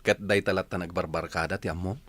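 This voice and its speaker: A man's voice: deep voice, fairly even intonation, fast talker, fairly bright tone. An actor with an Ilocano accent playing a firm, patient family man in a radio drama, earnest and admonishing. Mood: stern, urging.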